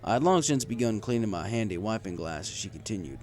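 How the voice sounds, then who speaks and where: A man's voice: fairly low pitch, expressive, quick pace, a little mellow. A man reading a first-person story aloud in a wry, conversational way. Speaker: story reader. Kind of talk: first-person scripted fan fiction narration.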